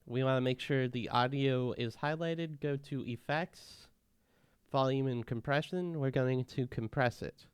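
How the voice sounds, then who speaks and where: Smooth-voiced man explaining steps. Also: mid-range voice, measured pace, dry recording. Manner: relaxed, step-by-step instructing. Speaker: tutorial host. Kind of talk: casual software walkthrough.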